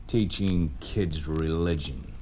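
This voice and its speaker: A man's voice: extremely low pitch, slow pace, warm tone, slight background noise. An actor playing a smug, curt secret policeman for a totalitarian regime in a 1950s radio drama. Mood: smug menace. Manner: curt, sardonic, tough. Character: hard-boiled male secret policeman, smug regime enforcer. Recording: vintage 1950s radio recording.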